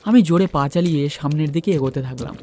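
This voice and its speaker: A man's voice: fairly high pitch, fast, noisy background. A man narrating a first-person horror story and acting out its dialogue.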